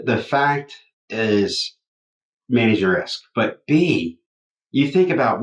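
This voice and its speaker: A loud-voiced man co-hosting a podcast in casual chat. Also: lowish voice, roomy sound, rough recording. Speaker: podcast co-host.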